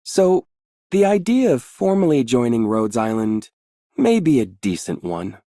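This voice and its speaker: A composed, businesslike game operative. The voice is low for a woman and very mellow, measured and polite, recorded close and dry. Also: very animated, no room sound, full character voice. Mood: composed, candid. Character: composed, businesslike weapons-testing operative considering a job offer. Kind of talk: video game character voice line.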